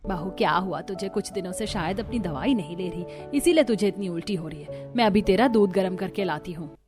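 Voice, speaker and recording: A woman's voice: mid-range voice, fairly quick, over music. Woman voicing Hindi cartoon characters. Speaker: voice actor for several female characters.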